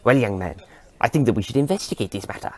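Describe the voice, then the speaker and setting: A man's voice: mid-range voice, lively intonation, fast talker, close to an everyday voice. A man voicing an eccentric, courteous old time traveller, curious and musing in a genteel manner. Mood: keen, curious. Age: older. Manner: musing, genteel, with hesitant interjections.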